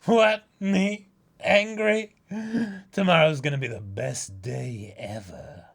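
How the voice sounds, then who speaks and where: A man's voice: fairly high pitch, takes it slowly, vocal fry, heavily acted. A man voicing a gluttonous, domineering giant pirate queen in a comic-book dramatic reading. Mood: gleeful. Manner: boastful, domineering.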